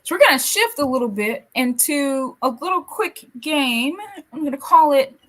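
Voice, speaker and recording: A woman from the American South hosts a relaxed podcast conversation with a slightly high voice. She affirms her guest often and turns playful when she leads a quick either-or game. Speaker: podcast host. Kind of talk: casual interview chat.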